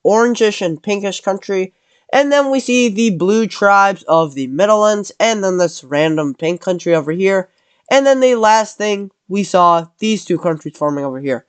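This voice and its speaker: A man's voice: high-pitched, animated, normal speed, clean tone, no echo. A man with a cold narrating a map scenario in casual commentary, rambling and correcting himself as he goes. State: has a cold.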